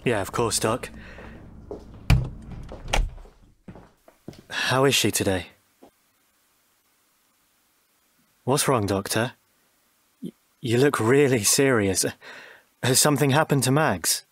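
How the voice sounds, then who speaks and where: A man playing a worried, devoted middle-aged husband in a radio drama, speaking earnestly and quietly subdued. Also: mid-range voice, normal speed, no room sound, natural voice.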